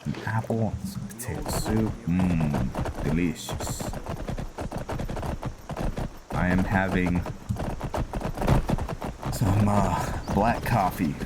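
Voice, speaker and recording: A man with a fairly low voice narrates his roleplay playthrough in a casual way. He thinks aloud in short, halting phrases. Loud game sounds and ambience run behind his voice. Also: very noisy. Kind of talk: casual gameplay commentary. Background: video game sounds, outdoor ambience.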